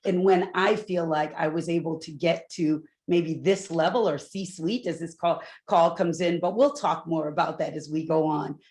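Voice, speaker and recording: A middle-aged woman from Newport, Rhode Island, a loud and candid corporate executive telling her career story on a panel with asides to the audience. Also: fairly low pitch. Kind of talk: panel talk, personal story.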